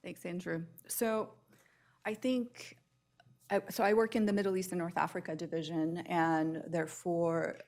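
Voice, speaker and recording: This is a woman from Albania speaking on a panel. She talks in an earnest, measured way and sets out her ideas as lists. Her voice sits a little low for a woman. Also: flat delivery, darkish tone. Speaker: panelist, international development professional. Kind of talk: panel discussion remarks.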